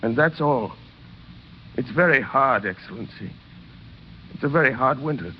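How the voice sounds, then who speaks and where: A man acts out a ragged, hungry ex-convict who pleads humbly and haltingly. His voice moves widely between low murmurs and raised appeals. It comes from a vintage radio drama with a muffled sound and faint noise. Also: lively intonation, natural voice. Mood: humble, desperate. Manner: halting, plain-spoken pleading. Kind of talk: 1930s radio drama acting.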